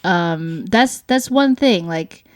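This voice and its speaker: A young woman speaks in a somewhat low register. Her delivery is casual and conversational, as a parent co-hosting a family show. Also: animated, slow. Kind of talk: casual chat.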